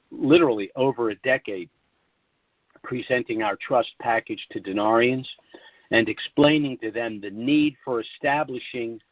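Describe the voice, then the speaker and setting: A man with a mid-range voice gives explanatory commentary as a call presenter. He speaks in a deliberate, formal manner, pausing and hesitating as he lays out his points. The audio is dry and somewhat dull, like a lo-fi conference line. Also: mid-range voice, no echo.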